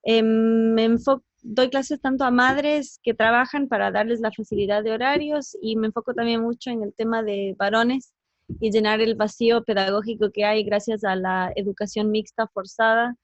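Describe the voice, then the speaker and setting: A woman with a slightly high voice speaks as an interview guest, explaining her work. She comes from Ecuador and grew up in the United States. The sound is very dry but somewhat muffled and lo-fi. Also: fairly even intonation, moderate pace, no echo. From born in Ecuador, raised in the United States. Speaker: interview guest, teacher and columnist.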